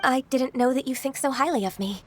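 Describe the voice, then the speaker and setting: Woman voicing gentle ageless anime game heroine, lightly high pitch, polite, dutiful, soft-spoken, faintly noisy capture. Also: brisk, natural voice. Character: gentle, dutiful, ageless half-adeptus woman secretary, modest and sincere. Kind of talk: anime video game voice acting.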